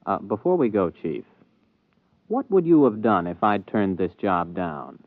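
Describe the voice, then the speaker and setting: Guy doing a fumbling rocket captain, lowish voice, tinny old radio sound. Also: big pitch swings, a little slow, no echo, heavily acted. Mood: wry. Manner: hesitant, stammering in dialogue. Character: rocket captain, wry and flustered. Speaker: narrator and lead. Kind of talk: 1950s radio drama acting. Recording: old telephone-thin radio broadcast.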